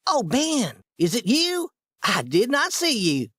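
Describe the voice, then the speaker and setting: A scheming storybook boy, as a man puts him on, coaxing and sly in a high, lively voice. It is recorded dry and close. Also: slow, breathy edge, no echo, exaggerated voice.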